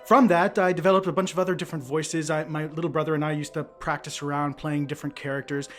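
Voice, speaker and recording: Man, mid-Atlantic American accent, slightly high pitch, clipped words, conversational. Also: level tone, fast, fairly bright tone, faint music behind. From Oklahoma, USA. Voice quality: clipped enunciation. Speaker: podcast cast member, voice performer. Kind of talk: casual explanation.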